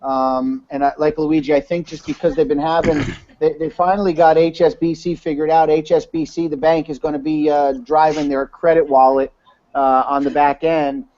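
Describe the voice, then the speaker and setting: A man's voice: on the high side, level tone, normal speed, a little mellow. A man giving a casual, rambling webinar talk, full of fillers and restarts. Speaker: webinar presenter. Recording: dull lo-fi online call audio.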